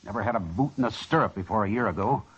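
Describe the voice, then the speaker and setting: An actor playing a proud, gruff middle-aged cattleman colonel, blustering and indignant, in an old time radio western. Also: fairly low pitch, fast, slight background noise, natural voice. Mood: indignant, scornful. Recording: muffled, dull old radio recording.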